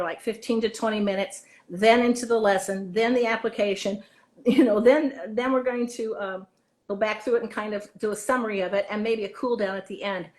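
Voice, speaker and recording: A woman drama teacher chatting casually in an interview, giving practical advice in an encouraging way and repeating words for emphasis. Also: medium pitch.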